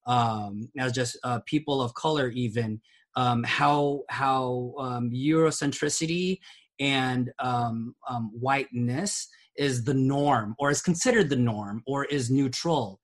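A man's voice: mid-range voice, slow. A man co-hosting a podcast, making his point earnestly while hunting for words with many "um"s and tag questions. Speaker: podcast co-host. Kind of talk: casual podcast discussion.

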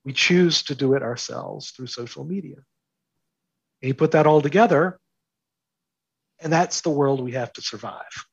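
A man's voice: mid-range voice, gravelly, breathy edge, no room sound, lo-fi sound. A man speaking as an interview guest, explaining his ideas emphatically and repeating phrases for stress. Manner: emphatic, with deliberate repetition for stress. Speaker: interview guest, author and commentator.

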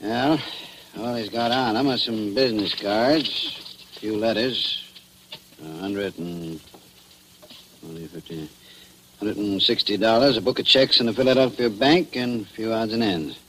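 A man's voice: on the low side, unhurried, pauses often, a bit bright. A man with an American accent playing a tough, curt police chief who gives clipped orders in 1940s radio drama style. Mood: stern, businesslike. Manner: gruff, commanding, clipped. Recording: noisy old 1940s radio recording.

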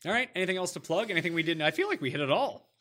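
A man hosting a sports podcast with a fairly high, lively voice that rises and falls a lot. His tone is bright and forward, and he talks in an animated, joking way. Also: rapid-fire. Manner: animated, plays with mock French voices. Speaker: podcast host. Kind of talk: casual sports chat.

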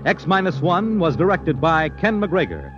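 A highish male voice with hugely animated intonation, over clear music on a muffled old broadcast. He is an American announcer, formal and theatrical, reading a script in 1950s radio fashion. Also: normal speed.

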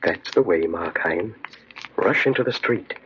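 A sinister tempter speaks very low, slow and insinuating, commanding like an evil conscience. It is old radio horror acting on a muffled, crackly recording. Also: male voice, animated, pushed and bright, exaggerated voice. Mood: urging, smug. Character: sinister tempting inner voice urging a man to crime.

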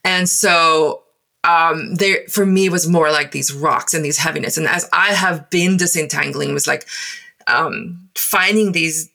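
A South African woman in her forties whose first language is Afrikaans, speaking in English and telling her own story in a thoughtful, halting way with many pauses and fillers. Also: deep voice, vocal fry, bright, forceful tone. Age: 40s. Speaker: guest. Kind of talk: reflective personal storytelling.

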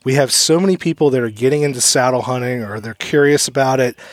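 A man's voice: medium pitch, fast talker, no echo. A man in his thirties chatting casually on a podcast, joking and laughing as he tells stories. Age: 30s. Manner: joking, laughs often, animated storytelling. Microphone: studio microphone.

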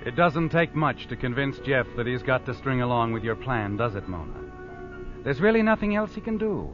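A man's voice: medium pitch, very animated, normal speed, music in the background, natural voice. Actor as insinuating male radio mystery narrator. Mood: knowing, ominous. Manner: insinuating, dramatic. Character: knowing, accusing male narrator addressing the culprit. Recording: muffled old radio recording.

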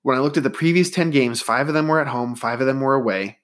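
A man's voice: mid-range voice, quick pace, a bit bright. Guy with a stuffy nose rambling about college hoops stats on his podcast, chill and chatty. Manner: conversational, rambling, data-minded. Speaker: podcast host. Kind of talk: casual solo sports podcast.

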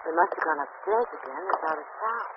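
A woman's voice: a little high, wildly swooping pitch, fast talker, creaky, heavily acted. An actress playing an anxious young woman who goes along with an investigator, in 1930s radio drama acting. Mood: uneasy. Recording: lo-fi noisy old radio recording.

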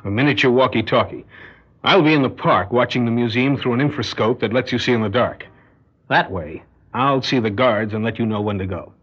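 An actor playing a shrewd, controlling criminal mastermind in a radio drama, speaking in a measured, calculating way with quiet menace. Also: male voice, medium pitch, breathy edge, natural voice. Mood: businesslike, instructing. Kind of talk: classic radio drama acting. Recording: dull lo-fi radio drama recording.

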